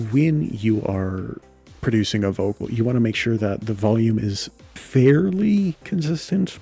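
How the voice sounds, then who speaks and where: A young man with a deep, gravelly voice and a dark, mellow tone talks through a home-studio tutorial. He explains at an easy pace, stops to correct himself, and drops in lots of "you know"s. A faint fan hum and soft music sit behind him. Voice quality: low voice. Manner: relaxed, thinks aloud with self-corrections and filler "you know". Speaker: podcaster explaining audio mixing. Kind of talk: casual spoken tutorial. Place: home room with a fan running.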